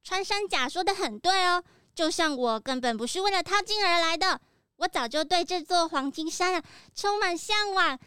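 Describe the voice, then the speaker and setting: A woman's voice: very high voice, expressive, moderate pace, pushed and bright, dry recording, studio quality, exaggerated voice. A woman playing a bubbly, nature-loving little pig child in a children's audio drama. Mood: admiring.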